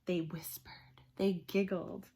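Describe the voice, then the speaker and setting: A woman reads a children's storybook aloud with an animated, emphatic delivery and a slightly husky voice. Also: on the low side, takes it slowly, slight background noise. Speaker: storybook reader.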